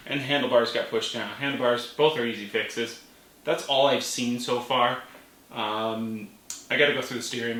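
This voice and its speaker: A person telling a story in a casual vlog manner, animated, with playful exclamations. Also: mid-range voice. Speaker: vlogger. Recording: roomy, distant mic with faint noise.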